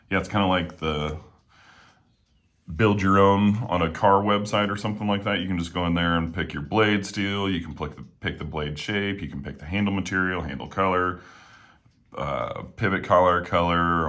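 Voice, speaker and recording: Super low-voiced guy, kinda froggy, laid back rambling as he cleans a knife at home. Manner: relaxed, rambling, many fillers. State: slightly hoarse. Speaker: knife reviewer. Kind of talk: casual talk while working. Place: home room with windows.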